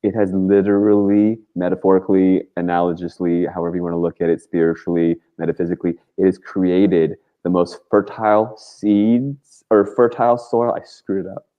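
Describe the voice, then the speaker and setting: A man with a very low voice speaks in a casual, rambling storytelling style. His delivery is earnest and emphatic, peppered with slang. The audio is somewhat dull and lo-fi, like a call-in show. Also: little pitch movement, moderate pace, few pauses. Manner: informal and slangy, with frequent "like" and mild swearing. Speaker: talk show guest, activist.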